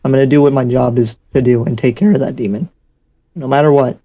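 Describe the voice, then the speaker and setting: A man playing a dutiful, resolute demon slayer in an anime roleplay, speaking in a measured, plain-spoken way. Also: mid-range voice, steady tone, warm tone, close to an everyday voice. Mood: determined. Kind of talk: improvised Minecraft anime roleplay. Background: music under the voice.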